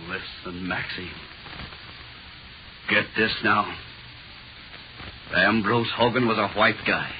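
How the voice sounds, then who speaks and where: An actor playing a gruff, brutal police interrogator in a 1940s American radio drama, threatening and slangy. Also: male voice, fairly low pitch, fairly even intonation, takes it slowly. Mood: menacing. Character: menacing, brutal police interrogator, hard-boiled tough cop. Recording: muffled, hissy 1940s radio recording.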